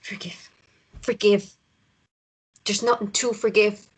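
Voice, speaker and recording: A woman's voice: mid-range voice, little pitch movement, slow pace, breathy edge, rough recording. An actress playing a hurt, defiant woman with an intense, emotional manner in a drama table read. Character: hurt, defiant mother standing up to her ex.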